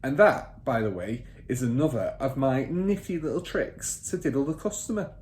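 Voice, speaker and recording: A man voicing a boastful, bullying father and crooked car dealer in a children's storybook, loud, pompous and emphatic. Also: medium pitch, animated, moderate pace, lightly acted. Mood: smug, emphatic. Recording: roomy echo, faint background noise.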